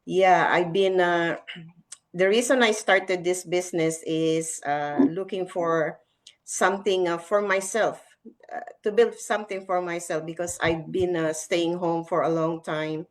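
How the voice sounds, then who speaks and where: A middle-aged woman with a Filipino accent giving an unscripted personal testimonial in a halting, hesitant way. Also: lowish voice, steady tone. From Philippines. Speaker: talk show panelist, online business owner.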